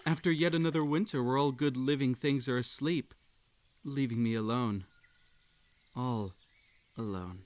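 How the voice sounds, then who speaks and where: A man's voice: mid-range voice, a little slow, long pauses, a little hiss or hum, natural voice. A man playing an unhinged, possessive bunny hosting a sinister tea party in a roleplay audio drama, coaxing and falsely polite. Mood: forlorn. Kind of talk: scripted roleplay audio drama addressed to the listener.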